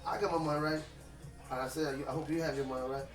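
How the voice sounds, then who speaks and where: A man who speaks as his rattles off his story at a fast clip, loose and emphatic, full of slang and swearing. His voice sits a bit high for a man. He sounds echoey and set back from the mic, with music clearly playing behind him. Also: fairly even intonation, recorded from a distance. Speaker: rapper. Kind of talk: casual interview chat.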